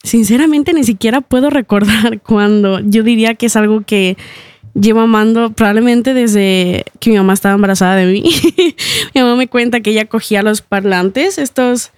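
A young woman from Ecuador, a singer guesting on a radio interview, who laughs often and fills pauses with "eh" and "am". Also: a little high, darkish tone, clean tone, no room sound, clean studio sound. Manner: laughs often, speaks with fillers like "eh" and "am". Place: radio studio.

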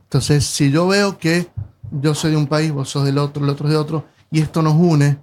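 A man's voice: on the high side, steady tone, moderate pace, a little mellow, a little hiss or hum. A man in his sixties with a Rioplatense Spanish accent, chatting casually and hesitantly with many fillers. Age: 60s. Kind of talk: casual chat.

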